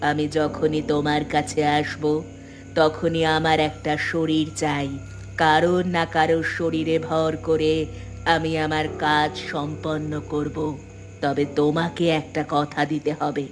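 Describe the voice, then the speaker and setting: A woman voicing the calm, demanding ghost of a drowned wife in a cartoon, measured and eerie. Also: deep voice, faint music behind. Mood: calm, commanding. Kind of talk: Bengali cartoon horror voice acting.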